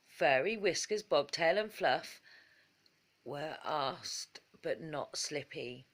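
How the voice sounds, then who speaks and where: Unhurried woman reading a bedtime story. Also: deep voice. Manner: measured, animated storytelling. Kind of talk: expressive bedtime story narration.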